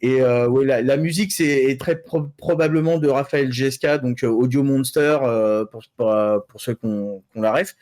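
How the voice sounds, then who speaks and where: A man talking casually as a panel columnist on a geek news show, with halting delivery and drawn-out fillers. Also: medium pitch, a little mellow. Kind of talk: casual news presentation and discussion.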